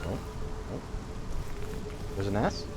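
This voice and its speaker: Guy doing a chill lets play, mumbling to himself, takes his time, noisy game ambience behind. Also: slow. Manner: muttering to himself in short, musing remarks. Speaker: let's play streamer. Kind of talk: casual gameplay commentary. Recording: clear background noise, game ambience.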